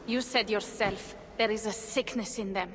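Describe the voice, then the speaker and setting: A woman's voice: medium pitch, steady tone, normal speed, a bit bright, slight background noise, natural voice. An actress playing a loyal, proud Viking warrior woman in a video game cutscene, speaking earnestly and solemnly. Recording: game audio with reverb and music.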